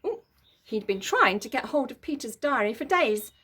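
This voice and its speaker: A woman with a fairly high voice reads a children's story aloud. Her narration is expressive and emphatic, playing up the drama for young listeners. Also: moderate pace, breathy edge. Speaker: story reader.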